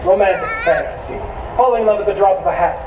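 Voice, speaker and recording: A man's voice: high-pitched, quick pace, close to an everyday voice. A man playing a wistful, wry musical-theater fan who chats to the audience in asides, in a community musical. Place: outdoor amphitheater stage. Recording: distant, echoey outdoor stage with noise.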